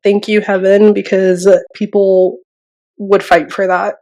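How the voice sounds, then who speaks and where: A woman in her thirties co-hosting a podcast, nasal from a cold, relaxed and joking in casual chat. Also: mid-range voice, slow, highs cut off. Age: 30s. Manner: laughs easily, relaxed and joking. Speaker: podcast co-host.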